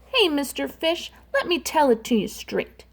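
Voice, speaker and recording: A woman's voice: sits high, very animated, measured pace, creaky, pitched above the performer's own voice, full character voice. A woman voicing a blunt, straight-talking male octopus in a sing-song rhyming delivery.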